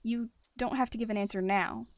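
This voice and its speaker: A woman's voice: fairly high pitch, steady tone, a little fast, no echo, lightly acted. A woman voices a big, brooding warrior tomcat in an animal roleplay. He growls and mutters with bitter intensity. The sound is close and dry. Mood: earnest, hushed. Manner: growling, snarling, muttering delivery. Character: brooding, bitter male warrior cat deputy, large tom.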